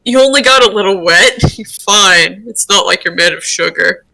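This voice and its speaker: Woman voicing a bright, playful teasing guy creature. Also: mid-range voice, normal speed, creaky, rough recording. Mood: amused, reassuring. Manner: casual, teasing, reassuring. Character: playful, teasing young male creature with a tail.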